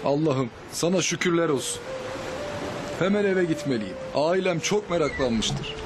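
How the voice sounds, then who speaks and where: A man's voice: fairly high pitch, animated, unhurried, background noise. An actor voicing a young fisherman in a dramatized cartoon moral tale. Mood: relieved, grateful. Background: music.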